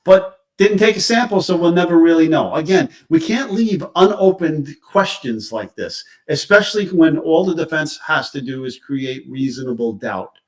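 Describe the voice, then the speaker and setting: A man discussing crime cases casually on a live stream, halting as he searches for words, recorded at a distance from the microphone. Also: on the high side, animated.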